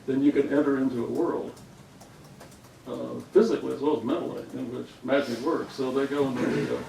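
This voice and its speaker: A man's voice: medium pitch, fairly even intonation, a little fast, vocal fry, mellow. A man talking in an informal group discussion, wordy and given to digressions. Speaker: writer in a workshop discussion. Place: indoor room, recorded from a distance. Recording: roomy, distant mic, background noise.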